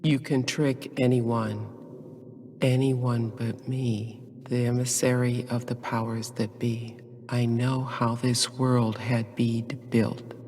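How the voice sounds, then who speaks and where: Proud cold male emissary, husky, slow, solemn dogmatic game monologue. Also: mid-range voice, little pitch movement, darkish tone. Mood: cold certainty. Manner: measured, dogmatic. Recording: long hall reverb.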